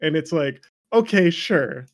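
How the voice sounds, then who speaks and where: A man's voice: a little high, expressive, a little slow, over a noisy crowd. A man in a casual, opinionated chat about video games, rambling and swearing freely as an interview guest. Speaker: interview guest, game developer.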